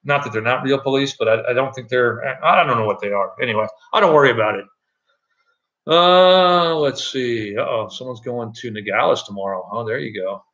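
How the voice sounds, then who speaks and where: A middle-aged man with a loud voice, chatting loosely on a live stream from an echoey room at home, with many fillers and restarts. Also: medium pitch, a little fast, highs cut off. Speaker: channel host. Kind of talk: casual live-stream chat.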